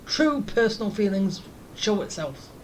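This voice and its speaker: A woman giving casual let's-play commentary, halting as she thinks aloud. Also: medium pitch, very animated, a little hiss or hum. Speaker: gaming streamer reacting while playing.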